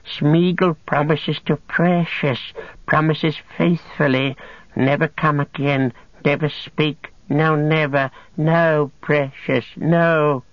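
A wretched, starving creature, whining and hissing in thin, strained tones well above the reader's own voice. Every word is stretched out and pleading. The sound is dry and a little dull. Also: male voice, a little high, steady tone, dry recording, voice pushed up. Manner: drawn-out, pleading, talks of himself as "we". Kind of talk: audiobook character voice.

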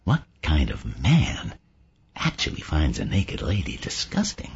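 A man's voice: extremely low pitch, wildly swooping pitch, measured pace, creaky, husky, dull audio, pitched below the performer's own voice, full character voice. A man playing a naive, prudish Southern American delegate in an audiobook, folksy and earnest. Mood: earnest realization.

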